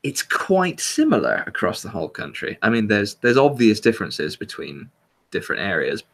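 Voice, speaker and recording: A man's voice: on the low side, huge pitch swings, moderate pace, vocal fry, a bit bright. A man from southern England with a southern English accent, hosting a casual chat and laughing often. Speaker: host.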